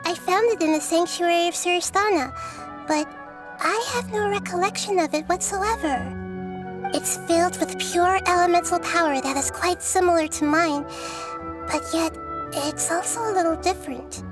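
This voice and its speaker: A woman voicing a small, gentle, wise child goddess in a video game, speaking softly and thoughtfully. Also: very high-pitched, normal speed, over music. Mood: puzzled. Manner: soft-spoken, thoughtful, articulate. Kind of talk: video game character acting.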